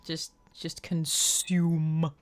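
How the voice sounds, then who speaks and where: A woman's voice: low-pitched, completely flat delivery, very slow. A woman streaming a game with casual, playful, joking live commentary.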